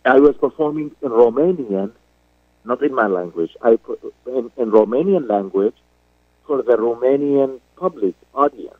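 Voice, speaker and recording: A middle-aged man with a Syrian Arabic accent, speaking as an actor guest in a radio interview, slow and halting with many fillers and restarts. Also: medium pitch, expressive, dry recording. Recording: dry phone-line call.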